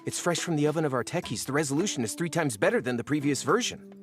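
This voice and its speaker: A man's voice: fairly high pitch, expressive, fast, soft background music, close to an everyday voice. An actor playing a timid, geeky young male data analyst in a video game, eager and secretive.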